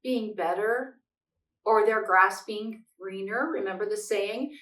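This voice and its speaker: A middle-aged woman reading a picture book aloud and talking to young children in an expressive, simply phrased, explanatory way. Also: mid-range voice, takes it slowly. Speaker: children's read-aloud host. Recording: echoey room, distant microphone.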